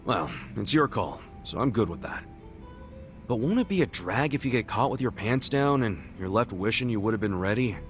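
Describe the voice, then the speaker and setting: A man's voice: fairly low pitch, lively intonation, moderate pace, natural voice. Guy doing brash loyal street punk w a big heart, game cutscene, swoopy delivery, bit of music behind. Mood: curious, frank. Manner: informal, blunt, swaggering, foul-mouthed. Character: brash, warmhearted, loyal male street tough. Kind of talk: video game cutscene voice acting.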